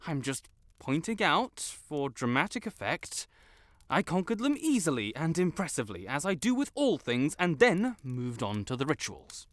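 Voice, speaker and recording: A man's voice: a little high, big pitch swings, moderate pace, no room sound. A man playing a pompous, melodramatic wizard in an audio roleplay, with flowery, theatrical speech and blurted self-corrections. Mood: boastful, faltering. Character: haughty, melodramatic male wizard, secretly fond tsundere. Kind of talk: scripted audio roleplay to the listener.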